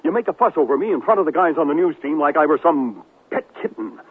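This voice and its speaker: Vain, husky-voiced manipulative boyfriend. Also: male voice, on the high side, lively intonation, fast. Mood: peevish, nagging. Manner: stammering, theatrical, self-dramatizing. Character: vain, manipulative, self-pitying TV newsman boyfriend. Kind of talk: radio drama acting. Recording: muffled lo-fi 1970s radio drama recording.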